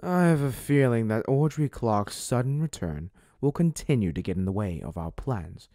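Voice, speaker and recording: A man's voice: mid-range voice, lively intonation, normal speed, warm tone, dry recording, studio quality, natural voice. A man voicing a cocky, scheming young werewolf man in an amateur roleplay drama. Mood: plotting.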